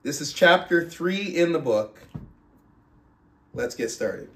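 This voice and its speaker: A man with a voice a bit higher than most hosts a book club podcast. He speaks in a deliberate way, leaving pauses and stretching words for emphasis. The recording is noticeably echoey, with the room clearly audible around his voice. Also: animated, fairly bright tone. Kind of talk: casual podcast talk and reading a book aloud. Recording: roomy, echoing sound.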